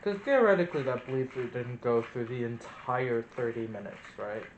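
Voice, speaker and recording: A man's voice: mid-range voice, normal speed, muffled recording. Man thinking aloud in an echoey room. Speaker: presenter of an experiment video. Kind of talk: casual hands-on demonstration, thinking aloud. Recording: roomy echo, noticeable background noise.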